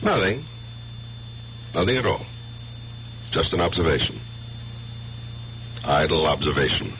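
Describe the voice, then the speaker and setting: An actor playing a wry, suspicious American police detective and protective older brother in a 1970s radio drama, with a dry, teasing delivery. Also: male voice, rumbling low, very animated, unhurried, fairly bright tone, exaggerated voice. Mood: suspicious, probing. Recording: dull, faintly noisy old radio broadcast.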